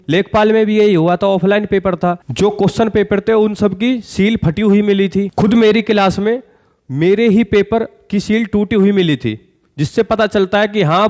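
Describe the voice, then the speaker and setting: A man's voice: high voice, darkish tone. A man giving earnest, pointed commentary straight to viewers as an exam-news YouTuber, often posing rhetorical questions. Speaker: exam-news YouTuber addressing job aspirants.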